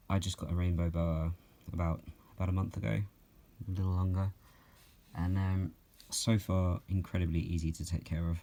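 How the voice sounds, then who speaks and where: A young man from Norway, answering viewer questions about his reptiles at home in a calm, unhurried way, with many ums and soft laughs. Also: low-pitched. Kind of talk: relaxed Q&A vlog. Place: at home, handling snakes while filming.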